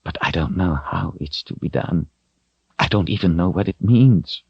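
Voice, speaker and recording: A gentle old wizard, as a male narrator puts him on, soft-spoken and unhurried, often dropping to a near whisper. His tone stays patient and steady. It is a dull, dry recording. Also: low voice, gravelly, slightly rough, no echo, heavily acted. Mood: somber.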